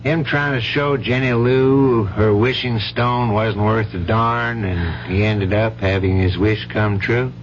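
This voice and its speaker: An actor playing a steady, plain-spoken man in a 1970s radio drama, measured and matter-of-fact as he consoles a widow. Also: fairly low pitch. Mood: calm, frank. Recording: dull vintage radio recording, faint hum.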